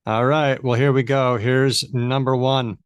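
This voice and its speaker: A man's voice: medium pitch, flat delivery, measured pace, clear, resonant tone, no echo, highs cut off. Upbeat male podcast host. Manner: upbeat, guiding the show along. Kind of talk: live podcast hosting.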